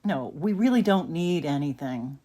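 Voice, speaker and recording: A woman plays a practical mother in an audio play. Her voice sits a little low. The character is no-nonsense with her children. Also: animated, moderate pace, dark tone.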